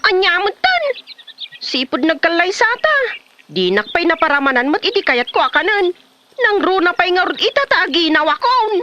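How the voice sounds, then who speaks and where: Woman playing a high-pitched, whiny pregnant wife. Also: sing-song, normal speed, bright, forceful tone, lo-fi sound, heavily acted. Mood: craving, sulky. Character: pregnant wife, whiny, craving mangoes, fed up with lazy husband. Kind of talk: comedy drama acting.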